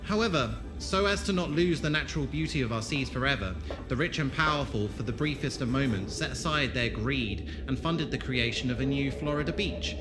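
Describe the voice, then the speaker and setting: An actor playing a worldly, avuncular space traveller who leads his group with eloquent, gently authoritative showmanship in an audio drama. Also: medium pitch, a little fast, hardly any pauses, music in the background, lightly acted. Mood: grand, enthusiastic. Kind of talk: fan audio drama acting.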